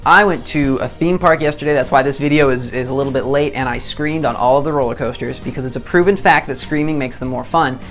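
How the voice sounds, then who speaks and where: A young man in his twenties with a hoarse voice after a day of shouting explains technique to camera in a chatty, self-deprecating way. He often stumbles and restarts, his pitch sitting mid-range, and faint music plays behind him. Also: fast talker, few pauses. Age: 20s. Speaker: disc golf instructor. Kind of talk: casual tutorial to camera.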